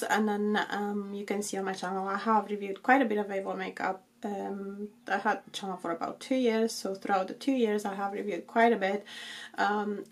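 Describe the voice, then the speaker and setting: A woman in her forties with a croaky, slightly husky voice from being unwell, chatting casually to camera in a makeup review, with plenty of ums. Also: medium pitch. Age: 40s. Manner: chatty with frequent ums, light laughs. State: croaky from illness. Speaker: beauty vlogger. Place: bedroom with shelves, indoors.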